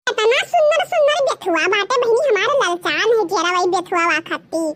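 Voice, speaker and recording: Squeaky, very high cartoon village gossip, Awadhi accent. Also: female voice, very animated, a bit bright, exaggerated voice. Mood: gossipy. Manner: giggly, chatty, rustic, drawn-out exclamations. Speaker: cartoon voice performer. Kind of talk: rustic comedy cartoon dialogue.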